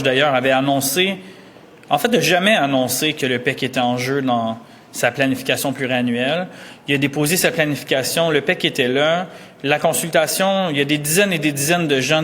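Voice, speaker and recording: A man's voice: mid-range voice, fairly bright tone, long reverb tail, a little hiss or hum. Indignant man at a press conference. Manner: indignant, emphatic.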